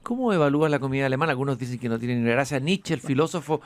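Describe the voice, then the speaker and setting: A man's voice: medium pitch, lively intonation, racing, no room sound. A man hosting an interview outdoors in a garden, hesitant and repeating himself, with easy laughs. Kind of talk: conversational interview.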